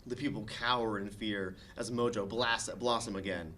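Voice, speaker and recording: A man's voice: on the low side, steady tone, moderate pace, bright, forceful tone. A man reading scene directions as narrator at a comedic table read.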